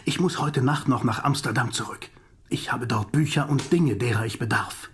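A man acting a grave, commanding older professor in an audio drama, solemn, urgent and deliberate, with a husky voice. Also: mid-range voice, slight background noise, close to an everyday voice. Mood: grave warning.

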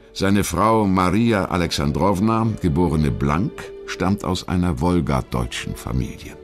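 A man reading a scripted historical narration in a measured, articulate storytelling manner. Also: deep voice. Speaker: documentary narrator.

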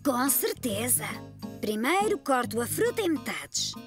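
A woman's voice: sits high, sing-song, a little slow, over music. A woman with a European Portuguese accent voicing a kind, patient grandmother in a dubbed cartoon, speaking in an encouraging way. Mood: gentle.

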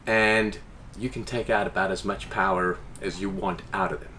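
Man in his forties, boat owner, casual interview talk, animated, faint background hiss. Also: deep voice, moderate pace. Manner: animated, gestures with hands while explaining. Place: inside a boat salon.

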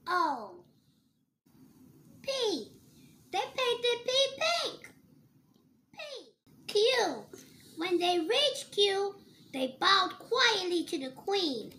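A child with a very high, lively voice reads a picture book aloud at a slow pace. The reading is sing-song, on an amateur recording with slight background noise.

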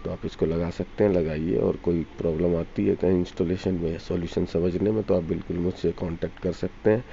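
Male installer, gravelly and very dark tone, casual walkthrough delivery on a muffled lo-fi recording. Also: sits low, fairly even intonation, a little fast, few pauses. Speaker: audio installer. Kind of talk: informal site walkthrough narration. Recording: dull lo-fi sound, faint noise.

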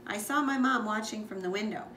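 A woman's voice: mid-range voice, a little fast. A woman reading a children's picture book aloud expressively, as a school librarian. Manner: expressive, with asides to listeners. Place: living room, on a couch indoors. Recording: echoey room with background noise.

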